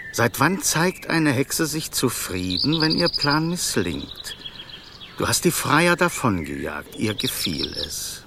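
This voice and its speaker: An actor playing a prim, pedantic golden snake in a radio play, wary and full of advice. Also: male voice, medium pitch, background noise, full character voice. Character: fussy, wary enchanted golden snake, loyal adviser. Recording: clear birdsong and clicks behind. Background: chirping birds in a park.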